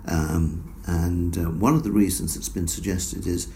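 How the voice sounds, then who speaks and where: A man answering interview questions about his research in a measured, explanatory way, with frequent fillers. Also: sits low, fairly even intonation, warm tone, a little hiss or hum. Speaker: researcher guest.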